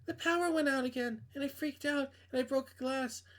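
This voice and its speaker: An anxious, self-conscious young woman from a visual novel, acted out by a man, speaks in a raised pitch. She is halting and hesitant, quick to brush things off. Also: fairly bright tone. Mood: shaken.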